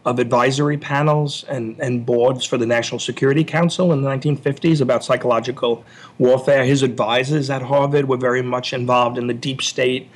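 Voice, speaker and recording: A man speaking as an author being interviewed, explaining ideas in an analytical way with halting, repeated word starts. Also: medium pitch, fairly even intonation. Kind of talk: interview discussion, explanatory and scholarly.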